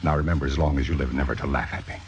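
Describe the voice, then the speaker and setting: A man's voice: a bass voice, steady tone, very fast. A man playing a cynical, commanding theater critic in a vintage radio drama, with precise, sardonic, possessive delivery. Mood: cold, menacing. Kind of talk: 1950s radio drama acting. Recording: dull vintage radio recording, music behind.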